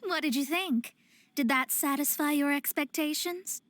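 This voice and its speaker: A woman's voice: high voice, moderate pace, fairly bright tone, slight background noise. An actress playing a proud young female astrologist in a video game, speaking in lofty, formal, theatrical phrases. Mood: smug, lecturing. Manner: formal, archaic, lofty diction. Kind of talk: anime-style video game voice acting.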